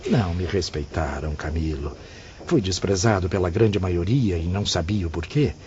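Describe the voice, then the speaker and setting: A man's voice: sits low, normal speed, a little mellow, lightly acted. A man with a Brazilian accent playing an anguished, repentant spirit in an audiobook drama, with grave, theatrical, formal diction. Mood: pained, regretful. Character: anguished, repentant male spirit confessing past vices. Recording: dull audio, faint ambient noise.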